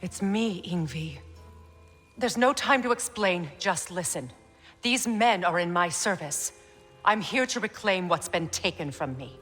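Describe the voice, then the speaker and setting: An actress playing a resolute, imperious goddess in a video game, deliberate and commanding. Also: female voice, on the low side, expressive, fairly bright tone, in a large hall, faint music behind. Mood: urgent, commanding. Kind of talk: cinematic video game acting.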